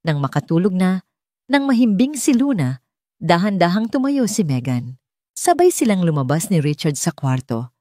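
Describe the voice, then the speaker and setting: A woman's voice: on the low side, very animated, normal speed, dark tone, no room sound, clean studio sound. A woman with a Filipino accent reading a scripted romance story as an audiobook narrator.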